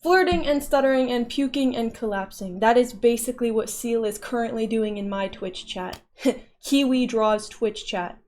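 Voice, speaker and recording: A woman's voice: fairly high pitch, normal speed, darkish tone. Chatty, playful young-sounding woman streamer. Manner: chatty, playful, often laughing. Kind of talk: casual livestream chat reading viewer messages.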